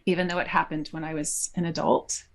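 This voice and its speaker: A middle-aged woman from New Jersey, a podcast guest speaking thoughtfully with many pauses and fillers. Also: low-pitched, little pitch movement, gravelly. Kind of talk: conversational interview.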